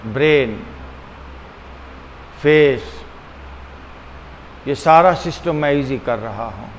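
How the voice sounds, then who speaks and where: A man leading a guided meditation as a teacher, speaking deliberately and repetitively with frequent pauses, mixing two languages. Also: mid-range voice. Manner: switches between Hindi-Urdu and English. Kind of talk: guided meditation, calm instruction. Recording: long hall reverb, faint background hum.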